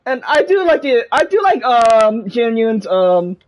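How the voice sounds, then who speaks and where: A woman's voice: a little high, sing-song, a little slow, darkish tone, rough recording. A woman streaming a game with casual, chatty commentary. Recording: game music behind the voice.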